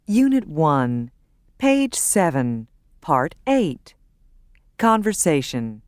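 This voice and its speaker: A woman narrating textbook audio, announcing units and instructions slowly and clearly. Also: low voice, sing-song, a little mellow, no room sound, clean studio sound. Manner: slow, deliberate, clearly enunciated. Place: recording studio.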